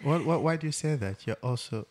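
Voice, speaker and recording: A man's voice: mid-range voice, expressive, fairly quick, a little mellow. A man hosting a podcast, chatting casually with a guest, chuckling often and giving supportive backchannels. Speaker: podcast host. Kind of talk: casual interview chat.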